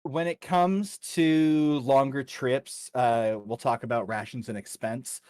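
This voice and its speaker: A man running a tabletop game as game master, narrating and explaining rules in a casual, halting way. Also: medium pitch, steady tone, darkish tone, smooth, no echo.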